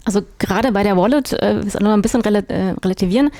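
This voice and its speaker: A woman's voice: medium pitch, fast talker, dark tone, dry recording, clean studio sound. A woman from Berlin, a guest on a talk podcast, explaining technical matters at length with frequent fillers. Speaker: expert guest. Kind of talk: podcast discussion.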